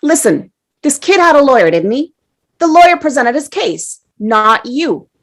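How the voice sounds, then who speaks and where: A woman's voice: high-pitched, very animated, moderate pace. A woman playing a brash, impatient, sarcastic male juror in a scoffing, exclamatory way at a dramatic table read. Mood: irritated.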